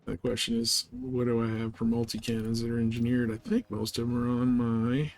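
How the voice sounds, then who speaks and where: A man's voice: mid-range voice, little pitch movement, fairly quick. A man livestreaming a game in casual, informal chat, often thinking aloud to himself as he plays.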